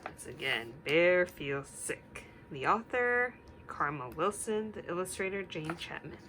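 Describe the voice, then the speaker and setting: A woman teacher reading a picture book aloud to young children, instructive and deliberate, stopping to put questions to them. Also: on the low side, expressive, noisy background.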